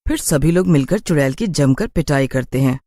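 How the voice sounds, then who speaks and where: A man's voice: on the high side, animated, moderate pace, dark tone, no echo, studio quality. A man narrating a scripted cartoon horror story as its storyteller.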